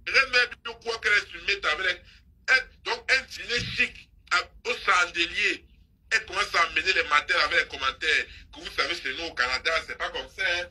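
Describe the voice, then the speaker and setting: A woman's voice: on the low side, a little fast, bright, forceful tone, breathy edge, low-quality recording. A woman hosting a live stream, scolding and mocking someone in an insistent, repetitive gossip rant. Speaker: social media live host.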